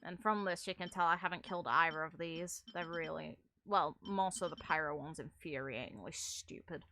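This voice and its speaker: A woman's voice: low-pitched, moderate pace, bright, forceful tone, a little hiss or hum. A woman chatting casually as she streams a video game, rambling in a wry, mildly sweary way. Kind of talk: casual live stream chat while playing a video game. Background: game music.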